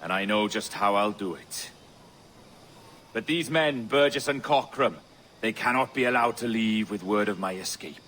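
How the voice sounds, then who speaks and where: A man playing a terse, bright-toned pirate. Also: fairly low pitch, normal speed, slight background noise, natural voice. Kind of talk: video game cutscene acting.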